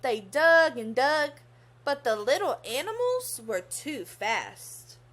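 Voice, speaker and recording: A woman's voice: sits high, very animated, fairly bright tone. A young woman reading a children's story aloud expressively, in a teacherly manner. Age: young adult. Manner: teacherly, pauses to pose questions to young listeners.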